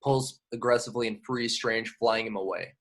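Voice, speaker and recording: A man's voice: medium pitch, fairly even intonation, moderate pace, fairly bright tone. A man narrating scripted action directions in an amateur table read of a film. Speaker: narrator reading stage directions.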